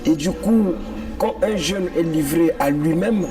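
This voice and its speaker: A man's voice: fairly high pitch, a little slow, mellow. A man from the Congo, speaking earnestly as an activist guest in a TV interview and repeating points for emphasis. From Republic of the Congo. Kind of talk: political TV interview. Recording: distant mic with music behind. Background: clear background music.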